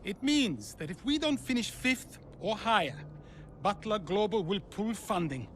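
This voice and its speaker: A worried, serious male team boss in a game story. His voice is high for a man and pointed, with faint ambience behind it. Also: lively intonation, measured pace, fairly bright tone, slight background noise. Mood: grave. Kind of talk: video game cutscene acting.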